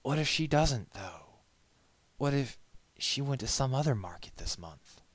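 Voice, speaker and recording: A man's voice: mid-range voice, expressive, unhurried, no echo, voice pushed up. A man voicing an anxious, lovestruck pony glassblower in a storybook reading, with an earnest manner.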